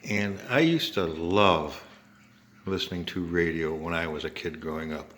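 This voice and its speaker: An older man with an American accent giving a casual, halting show-and-tell narration in short phrases. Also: sits low, a bit bright, slight background noise. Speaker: home video maker showing an antique radio.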